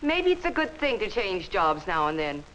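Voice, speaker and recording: An American actress playing a defiant, easily offended office clerk in a 1950s instructional film with a hissy soundtrack. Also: female voice, medium pitch, big pitch swings, moderate pace, a bit bright, low-quality recording. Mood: defiant, huffy. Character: indignant, defiant female office clerk, quick to take offense. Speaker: actor in workplace instructional film.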